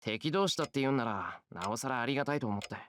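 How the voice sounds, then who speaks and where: A man's voice: medium pitch, expressive, rapid-fire, a bit bright, a little hiss or hum, close to an everyday voice. A man playing an earnest, bewildered teenage schoolboy hero in a Japanese visual novel. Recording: background music under game dialogue.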